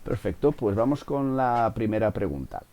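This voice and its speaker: A man's voice: fairly low pitch, very animated, normal speed, mellow. A man hosting a podcast interview, asking questions with hesitations and careful rephrasing.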